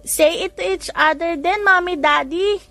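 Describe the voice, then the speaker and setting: A Filipino woman plays a sweet little boy in a storybook, pitched well up. He is childlike, affectionate and coaxing, with soft music behind. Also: unhurried, heavily acted. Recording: music under the voice.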